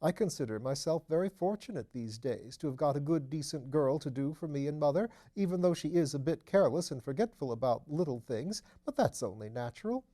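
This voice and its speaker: A man's voice: fairly high pitch, lively intonation, fairly quick, hardly any pauses, mellow, voice pushed up, close to an everyday voice. A man voicing a small, nervous, apologetic man in an audiobook, deferential and fussy as he repeats himself. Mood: apologetic, earnest. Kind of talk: audiobook character voice.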